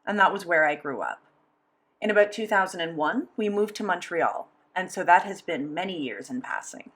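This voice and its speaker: A woman's voice: lowish voice, expressive, moderate pace, a bit bright. Woman voicing a signed interview. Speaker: sign language voice interpreter.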